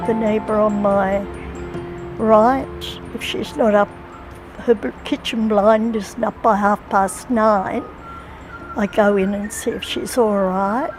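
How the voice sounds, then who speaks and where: A woman's voice: mid-range voice, fairly even intonation, slow, over music, poor audio. An older woman giving a plain personal account as an interviewee.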